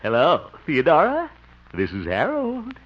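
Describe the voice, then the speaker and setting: Old, dull, noisy radio recording of a flustered young American director. His fairly high voice swoops wildly from self-pitying muttering to exasperated outbursts in broad radio comedy style. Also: huge pitch swings, a little slow, heavily acted. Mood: eager, nervous. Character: insecure, jealous, flustered young amateur play director. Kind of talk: 1940s-50s radio comedy acting.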